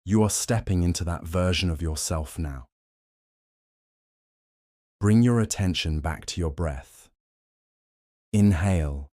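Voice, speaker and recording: Male sleep meditation guide: very deep, unhurried, soothing and gently instructive. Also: no room sound, clean studio sound. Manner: soothing, gently instructive, short measured phrases.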